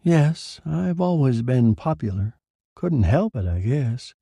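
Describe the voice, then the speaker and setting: A man's voice: mid-range voice, sing-song, slow pace, creaky, dark tone, husky, no room sound, clean studio sound, pitched above the performer's own voice, exaggerated voice. A man voicing a vain, languid nightclub singer, a wife who drawls with lazy self-satisfaction in a dramatic story reading. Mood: smug, languid.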